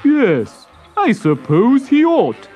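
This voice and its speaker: A woman voicing a resigned father tortoise who talks ponderously and deliberately in a children's storybook. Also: on the high side, huge pitch swings, slightly rough, highs cut off, soft background music, pitched above the performer's own voice, heavily acted. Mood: hesitant, giving in.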